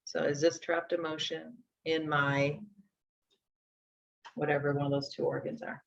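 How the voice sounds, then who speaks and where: A woman's voice: low-pitched, little pitch movement, a little slow, vocal fry. A woman in her forties teaching a live workshop, conversational as she talks through each step aloud. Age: 40s. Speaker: instructor. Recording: dull lo-fi sound.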